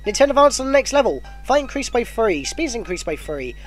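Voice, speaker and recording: This is a man hosting his own gameplay video while stuffed up with a cold, his nose blocked as he talks. His voice sits high and leaps around wildly in pitch as he rambles and corrects himself. Faint game music plays underneath. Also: quick pace. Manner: chatty, self-correcting, excitable at battles. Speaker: let's play host. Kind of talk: casual gameplay commentary.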